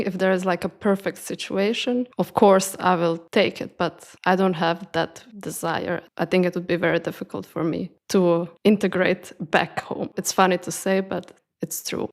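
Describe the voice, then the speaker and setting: A woman's voice: medium pitch, steady tone, normal speed, hardly any pauses. A young adult woman from Croatia, co-hosting a podcast in casual conversation. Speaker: podcast co-host.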